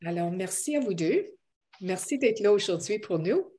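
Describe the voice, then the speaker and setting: A woman interviewing guests for a church broadcast, asking questions gently with hesitation fillers. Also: mid-range voice, lively intonation, moderate pace.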